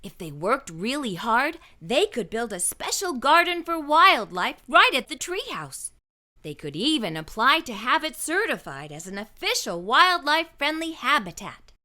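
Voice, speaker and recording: A woman narrates a children's picture book in a high, bright voice. Her delivery is expressive, with lively rises and falls suited to young listeners. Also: moderate pace.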